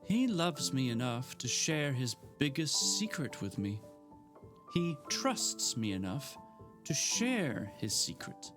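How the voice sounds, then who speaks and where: A man voicing a young woman in love who pleads and bristles with indignation, pitching his voice up high. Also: big pitch swings, slow pace, over music. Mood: indignant.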